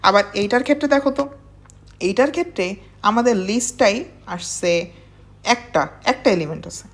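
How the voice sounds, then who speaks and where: A woman's voice: a little high, animated, brisk. A woman teaching programming step by step, often checking in with questions and mixing in English technical terms. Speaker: programming instructor. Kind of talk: informal step-by-step tutorial explanation.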